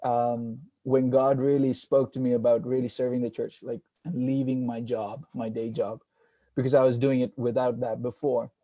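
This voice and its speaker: A man from India speaks as a pastor on a video call, earnest and reflective with many soft fillers. His voice is mellow and dark, with little rise and fall in pitch. The sound is dry, with no room echo. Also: level tone, normal speed. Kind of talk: panel introduction on a video call.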